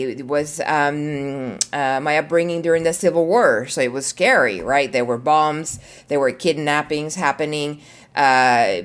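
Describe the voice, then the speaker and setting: Earnest woman from El Salvador. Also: low voice. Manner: earnest, reflective, many fillers. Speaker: marketing leader, podcast guest. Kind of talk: podcast interview.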